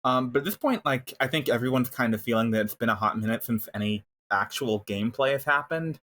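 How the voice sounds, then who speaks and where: Bright-toned man, chuckling podcast co-host. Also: mid-range voice, a little fast. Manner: hedging, filler-heavy and chuckling. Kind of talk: casual chat recapping a game story.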